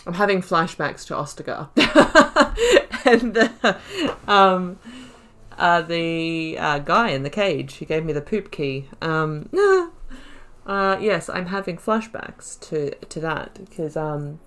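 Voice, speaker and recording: A woman hosting a game playthrough, thinking aloud in casual, expressive commentary with emphatic repetition. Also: lowish voice, slow. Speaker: gaming video host.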